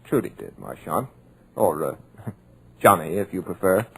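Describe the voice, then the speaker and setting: A nervy but bold captive writer from a 1940s radio thriller. His voice is low and slightly rough, with a sardonic, defiant edge and small hesitations. It sounds like an old radio recording. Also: sing-song, unhurried, fairly bright tone, exaggerated voice. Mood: taunting. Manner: sardonic, hesitant, then taunting. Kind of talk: 1940s radio horror acting.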